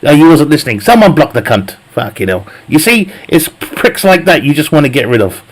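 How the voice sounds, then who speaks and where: Man, live streamer, slightly high voice, profane joking banter, frequent laughter. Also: very animated, brisk. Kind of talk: casual chat with viewers while gaming.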